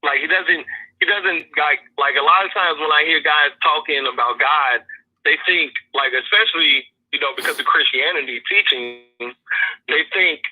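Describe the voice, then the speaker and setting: A man calls in to a podcast, his husky voice squeezed through a tinny phone line. He speaks a little high, earnest and halting, with many fillers as he gathers his thoughts. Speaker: guest calling in. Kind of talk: casual podcast conversation. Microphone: phone call.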